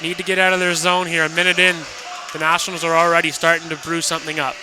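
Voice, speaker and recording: A man's voice: fairly high pitch, little pitch movement, fast talker, over music. A man giving color commentary and analysis on a live hockey radio broadcast. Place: ice hockey arena. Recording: noisy live arena broadcast.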